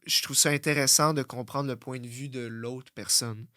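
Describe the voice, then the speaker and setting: A young man with a Québécois French accent, hosting a blunt, profane motivational podcast that swings from loud outbursts to low muttering. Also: fairly even intonation, moderate pace, no echo, studio quality. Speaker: fitness coach and podcast host.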